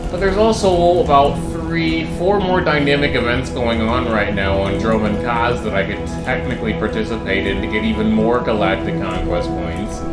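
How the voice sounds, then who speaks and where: A man hosts a gameplay video in a roomy-sounding recording with loud music behind him. His voice sits at an average pitch, and he chats casually, wandering into playful asides. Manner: playful, chatty, rambling asides. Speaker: gamer, video host.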